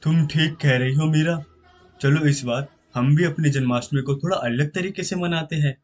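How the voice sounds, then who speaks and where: A man's voice: fairly high pitch, normal speed, close to an everyday voice. A man voicing a loving, worried village father in a moral story, earnest and thoughtful.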